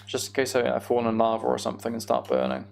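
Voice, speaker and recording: A young man giving casual, meandering gameplay commentary on a live stream, thinking aloud and chatting to viewers, with a creaky voice. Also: mid-range voice, big pitch swings, fast, a little hiss or hum.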